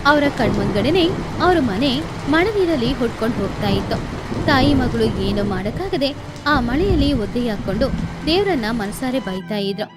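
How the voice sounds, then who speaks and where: A woman's voice: on the high side, animated, normal speed, noisy background. A woman narrating a children's bedtime story as the storyteller. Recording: music behind the voice.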